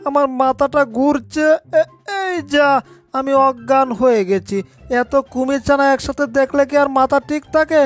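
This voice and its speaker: A woman's voice: high voice, moderate pace, soft background music, lightly acted. A woman voicing a panicky, put-upon male cat in a cartoon dub, exclaiming and fretting to itself. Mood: alarmed. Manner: exclaiming, fretful muttering to itself.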